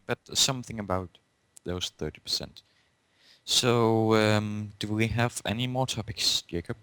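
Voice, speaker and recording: A man's voice: fairly low pitch, unhurried, fairly bright tone, clear, resonant tone, no echo. A man with a Danish accent, a non-native speaker co-hosting a casual tech podcast in a plain, matter-of-fact way. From Denmark. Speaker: podcast co-host.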